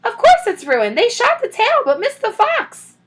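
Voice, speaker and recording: A woman reading a story and voicing a bossy, impatient housewife who calls out sharp orders. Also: extremely high pitch, wildly swooping pitch, a little slow, pitched above the performer's own voice, heavily acted. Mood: exasperated. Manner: sharp, commanding, scolding.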